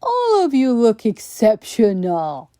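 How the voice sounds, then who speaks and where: A woman's voice: a little high, wildly swooping pitch, takes it slowly, warm tone, clean tone, no echo, studio quality, exaggerated voice. A woman voicing a vain, dramatic male horse in a children's storybook, boastful and theatrical. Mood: sudden realization.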